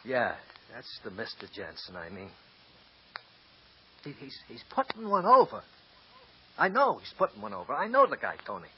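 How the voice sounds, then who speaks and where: A man's voice: medium pitch, huge pitch swings, moderate pace, pauses often, a little hiss or hum. An actor playing a nervous, tipsy small-time male accomplice who stammers and wheedles, in 1940s radio acting. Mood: rambling. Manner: stammering, wheedling then snarling. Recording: dull lo-fi old radio recording.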